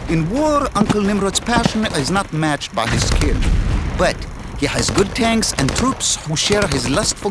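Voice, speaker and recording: An actor playing a proud, fatalistic male mountain fighter, telling his family's history in broken English over noisy game audio. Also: fairly high pitch. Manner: solemn, broken English storytelling.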